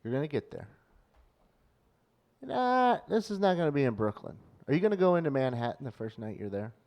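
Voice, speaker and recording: A man's voice: on the high side, very animated, a little fast, vocal fry, darkish tone. A man co-hosting a podcast, teasing and quizzing his friend in casual banter. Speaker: podcast co-host.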